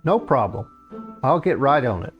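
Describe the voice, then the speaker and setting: A man voicing an elderly moon goddess in a comic fantasy story, a grand yet brisk and businesslike grandmother. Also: mid-range voice, sing-song, highs cut off, over music, lightly acted.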